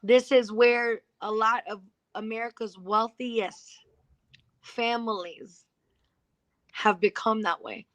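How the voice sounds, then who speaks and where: Bright, high-voiced woman speaking emphatically. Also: fairly even intonation, takes it slowly, no room sound. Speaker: financial services entrepreneur, interview guest. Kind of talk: motivational conversation.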